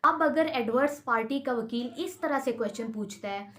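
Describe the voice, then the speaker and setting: A woman's voice: a little high, normal speed. A woman with a Pakistani accent explaining step by step in a lecture style, hesitating and repeating herself as she teaches. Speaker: law lecturer.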